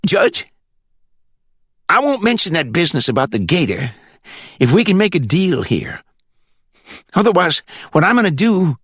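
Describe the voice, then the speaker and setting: A man's voice: sits high, very animated, moderate pace, pauses often, a bit bright, breathy edge, no echo, clean studio sound, pitched above the performer's own voice, heavily acted. A man voicing a sly, wary country caller with a rural Florida accent in an audiobook, insinuating as he bargains. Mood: threatening.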